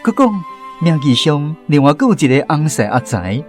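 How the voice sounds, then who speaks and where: Narrator: Taiwanese man, fairly high voice, lively swinging intonation, radio drama storytelling. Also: moderate pace, faint music behind.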